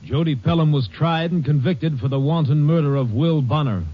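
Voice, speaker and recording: An actor serving as the solemn, formal narrator of a vintage radio crime case. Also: male voice, fairly high pitch, normal speed, close to an everyday voice. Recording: muffled old radio, music behind.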